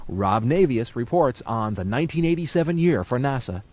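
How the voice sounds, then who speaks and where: A man's voice: medium pitch, expressive, normal speed, a little mellow. A man working as a radio DJ in a studio, giving playful, folksy announcer patter for a 1980s music show. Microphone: studio broadcast microphone.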